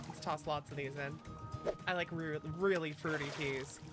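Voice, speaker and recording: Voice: mid-range voice, steady tone, normal speed. A playful, chatty VTuber streamer talking casually to viewers while playing a game, in a mid-range voice. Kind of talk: casual livestream chat, reading game dialogue aloud. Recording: music behind the voice. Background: game music.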